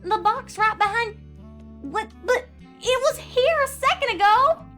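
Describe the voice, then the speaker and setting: A woman's voice: very high-pitched, expressive, a little slow, pushed and bright, faint music behind, voice pushed up, heavily acted. A woman voicing a young country filly, eager and earnest, with folksy turns of phrase.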